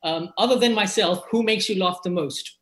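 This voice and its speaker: A man's voice: high voice, normal speed, fairly bright tone. A man hosting an interview, asking a list of questions with a playful, teasing touch. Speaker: interviewer, host.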